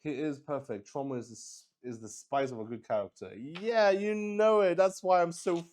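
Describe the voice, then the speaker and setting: A man's voice: fairly high pitch, fairly even intonation, moderate pace, a little mellow. A man improvising narration for a solo tabletop roleplaying game, thinking aloud hesitantly and often repeating his words. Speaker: solo roleplay host and player.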